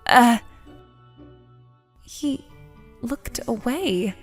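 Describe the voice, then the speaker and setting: A woman's voice: a little high, animated, moderate pace, faint music behind, natural voice. A woman playing a sociable but nervous, lovesick schoolgirl in a manga dub, with an emotive, hesitant delivery. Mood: wistful, self-doubting. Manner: emotive, self-doubting, hesitant. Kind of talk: dramatic manga dub narration and dialogue.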